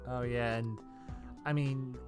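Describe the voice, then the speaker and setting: A man co-hosting a casual video game discussion, excitable and jokey, stammering over repeated words. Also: mid-range voice, slow pace, over music. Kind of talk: casual chat about games and films.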